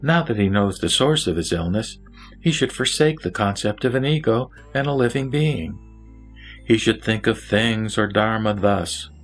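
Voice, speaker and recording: Low-pitched man, solemn scripture narrator. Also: lively intonation, moderate pace, faint music behind. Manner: solemn, formal.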